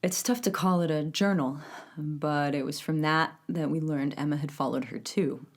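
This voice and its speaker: An actress playing a measured investigator giving matter-of-fact, conversational testimony in a documentary-style audio drama. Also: female voice, low voice, steady tone.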